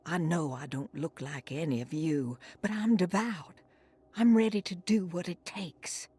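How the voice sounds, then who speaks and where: An actress playing a devout, troubled woman in a video game, speaking in a solemn, hushed and reverent way. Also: fairly low pitch, fairly even intonation, unhurried, breathy edge. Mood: pleading. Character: devout, troubled woman seeking solace in voodoo, praying. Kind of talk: dramatic video game monologue.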